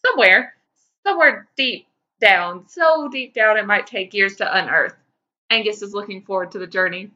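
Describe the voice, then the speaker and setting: A woman narrates a story as a podcast host. Her voice is mid-pitched and very bright, expressive, though the recording is slightly dull and lo-fi. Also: moderate pace. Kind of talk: expressive fan fiction narration.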